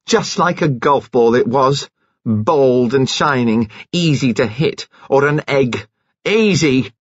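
A man's voice: on the low side, animated, takes it slowly, a little mellow, breathy edge, no echo, dull audio, full character voice. Man doing a spiteful furious young woman. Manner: bitter, hissing, taunting.

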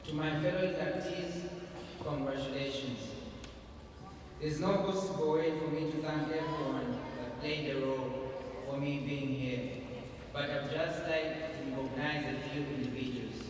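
Man, very slow and measured, earnest, slightly high voice, distant mic in a reverberant hall. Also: fairly even intonation, crowd noise. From Zambia. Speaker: hall of fame inductee. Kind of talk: acceptance speech. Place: large hall at an awards ceremony. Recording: echoey hall, far from the mic, background noise.

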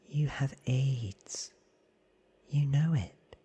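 An ancient female vampire, played by a male reader, speaks in a very breathy hush. She is weary, blunt and knowing, with slow lines made for a whispered ASMR story. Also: mid-range voice, voice pushed up, exaggerated voice.

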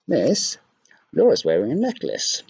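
A man's voice: medium pitch, wildly swooping pitch, measured pace, gravelly, a little mellow, husky, no echo, voice pushed up, exaggerated voice. A man voicing a jealous, sneaky little schoolgirl in a storybook, sulky and fibbing. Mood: tattling.

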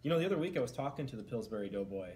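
A young man in a room, talking into a headset mic in a deadpan, earnest infomercial tone. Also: mid-range voice, rapid-fire, background noise. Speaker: co-host. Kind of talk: mock-serious cooking commentary.

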